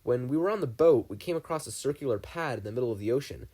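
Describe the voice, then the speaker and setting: A man voicing a brisk, commanding older male scientist in a fan fiction audio reading. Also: medium pitch, animated, dark tone, slightly rough, voice pushed up.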